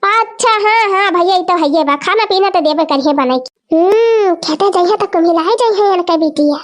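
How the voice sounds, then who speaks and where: A woman's voice: very high-pitched, normal speed. A woman voicing a scolding old village mother in a rural cartoon comedy, with an Awadhi accent and a chiding, repetitive way of talking. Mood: chatty, agreeable.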